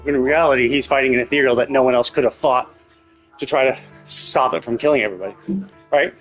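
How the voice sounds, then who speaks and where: A man's voice: medium pitch, fast talker, fairly bright tone, low-quality recording. A man giving casual, speculative live game commentary as a streamer, often checking himself with "right?". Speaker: game livestreamer. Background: game music.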